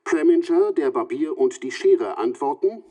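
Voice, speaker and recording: An actor playing a measured radio news reporter, reading scripted narration in a formal manner. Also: male voice, a little high, lively intonation, dark tone.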